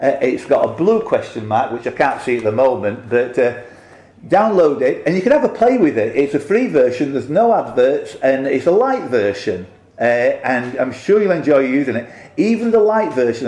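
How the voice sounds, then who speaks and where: A man's voice: medium pitch, animated, normal speed, a little mellow. Male quiz host, mature, off-the-cuff and jokey, rambling delivery, roomy small-venue sound. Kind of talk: casual unscripted introduction and player interviews. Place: quiet room in a pub, indoors. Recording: echoey small room.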